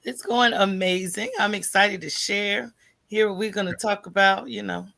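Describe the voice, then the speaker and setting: Middle-aged woman with a creaky voice. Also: medium pitch, steady tone, moderate pace, fairly bright tone, no echo. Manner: candid, informal. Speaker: podcast guest, small business owner. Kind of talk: conversational personal storytelling.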